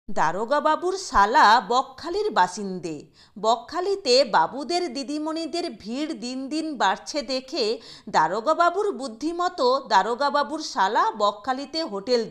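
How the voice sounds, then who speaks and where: A woman narrating a literary short story in a deliberate, expressive storytelling manner. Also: fairly high pitch.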